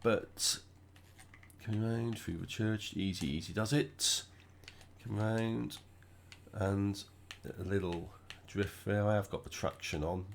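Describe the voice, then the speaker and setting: Croaky man with a cold. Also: fairly low pitch, slow, slight background noise. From United Kingdom. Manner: relaxed, chatty, thinking aloud while driving. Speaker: gaming channel host. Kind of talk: casual gameplay commentary.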